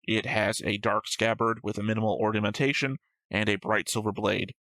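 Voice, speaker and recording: A man's voice: lowish voice, little pitch movement, a little fast, a bit bright, dry recording. A man hosting a reaction show, reading out notes and discussing them casually in a matter-of-fact, slightly pedantic way.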